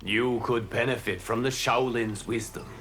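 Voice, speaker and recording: A man playing a calm, wise, admonishing god in a fighting game, with a solemn, sage-like manner. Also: medium pitch, normal speed, faint music behind, natural voice. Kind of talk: fighting game dialogue acting.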